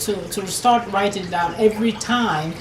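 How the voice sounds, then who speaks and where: A woman's voice: medium pitch, moderate pace, echoey room, noisy background. A woman from Jamaica, an herbalist, answering interview questions earnestly, often saying "per se" and restarting her sentences. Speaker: herbalist and nutrition business owner.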